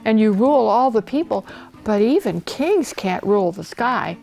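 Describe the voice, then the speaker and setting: A woman's voice: medium pitch, expressive, normal speed, vocal fry, warm tone, faint music behind, low-quality recording. A woman voicing a young page boy in a storybook, anxious, respectful and pleading. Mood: reasoning. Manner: gasping, pleading.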